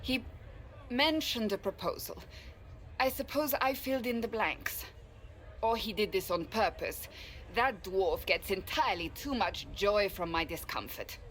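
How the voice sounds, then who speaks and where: A woman playing a loyal, awkward warrior in a fantasy video game, speaking formally and earnestly, sometimes haltingly. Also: mid-range voice, lively intonation, fairly bright tone, slight background noise. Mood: exasperated. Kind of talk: video game cutscene acting.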